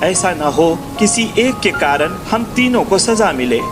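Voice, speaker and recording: A man's voice: high-pitched, big pitch swings, unhurried, music in the background. A man playing a frightened, pious villager in a children's cartoon story, with a worried, earnest way of talking. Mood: earnest worry.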